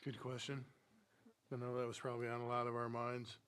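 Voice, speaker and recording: A man's voice: mid-range voice, monotone, fairly quick, a bit bright, clear, resonant tone. A man presiding over a village council meeting, speaking enthusiastically and often repeating his words as he praises staff. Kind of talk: meeting chair remarks.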